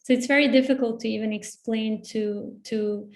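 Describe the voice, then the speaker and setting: A woman's voice: on the high side, fairly even intonation, normal speed. A young woman from Bosnia with a Bosnian accent, a novelist speaking earnestly on a panel over a video call. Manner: earnest, personal, fairly level delivery. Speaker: author on a panel.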